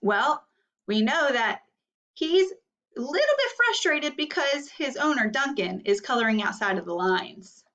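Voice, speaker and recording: A woman's voice: high-pitched, big pitch swings, measured pace, fairly bright tone. A woman teaching a read-aloud lesson for children, explaining instructionally and posing guiding questions. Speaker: elementary teacher. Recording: dull, distant microphone.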